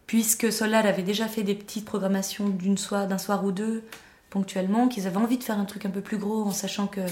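A woman's voice: mid-range voice, darkish tone, reverberant. A woman of Argentine family background, a cultural association organizer who speaks hesitantly with many fillers. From Argentine parents. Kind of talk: scripted narration then interview.

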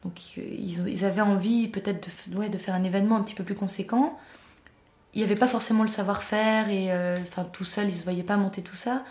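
Woman organizer, mellow tone, lots of ums, echoey room. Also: medium pitch, fairly even intonation, a little fast. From Argentine parents. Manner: hesitant, many fillers in interview. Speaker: association organizer. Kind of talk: scripted narration then interview.

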